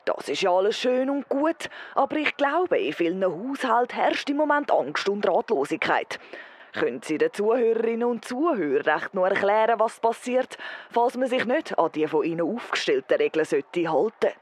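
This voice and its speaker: A woman's voice: mid-range voice, very animated, moderate pace, husky. A woman playing an earnest, persistent field correspondent in a parody news report, formal and dramatic, with a Swiss German accent. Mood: probing.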